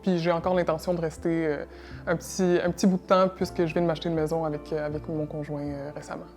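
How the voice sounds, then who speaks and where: A young woman with a low, mellow voice answers interview questions slowly and hesitantly, stopping often on fillers and repeating words. She grew up in Russia. Soft music plays behind her. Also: flat delivery. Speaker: interview guest, environmental technician.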